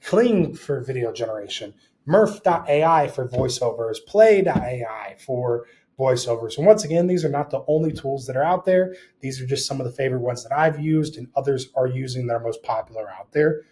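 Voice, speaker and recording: A man's voice: mid-range voice, animated, fairly quick, dark tone. A man presenting a tutorial in an informal, conversational style. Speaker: presenter of a tutorial on AI video tools.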